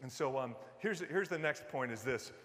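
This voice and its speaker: A man's voice: mid-range voice, lively intonation, normal speed, fairly bright tone. A man from Detroit in his fifties, preaching a sermon in a church in a conversational way, with many fillers and restarts and jokes with the congregation. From Detroit, US Midwest. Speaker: pastor. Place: church sanctuary. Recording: long reverb of a large hall.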